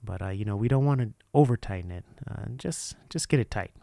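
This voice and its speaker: A man's voice: fairly low pitch, expressive, fairly quick, vocal fry, mellow, no room sound, clean studio sound. A man narrating a hands-on how-to in an informal, unscripted way, explaining each step casually. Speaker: presenter of a DIY how-to video.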